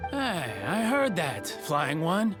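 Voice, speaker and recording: Easygoing old carpenter, slow and lively, chuckles a lot. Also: male voice, on the high side, exaggerated voice. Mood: genial. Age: older. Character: friendly old village carpenter, chatty. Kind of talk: video game voice acting. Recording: game music behind.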